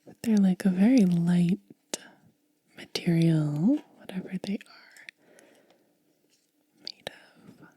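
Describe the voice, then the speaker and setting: A woman whispering softly and gently in an unhurried ASMR style, close to the microphone, pausing between short phrases. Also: lowish voice. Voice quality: breathy, mostly unvoiced whisper. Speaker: ASMR host. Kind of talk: ASMR unboxing, hushed and soft-spoken.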